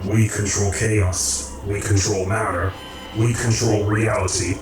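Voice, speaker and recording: A man in a radio drama playing a calm, commanding creator of realities who speaks in measured, formal, cryptic phrases. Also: fairly low pitch, natural voice. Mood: firm, commanding. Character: calm, commanding cosmic creator, male, cryptic philosophical overseer. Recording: roomy echo, distant, music underneath.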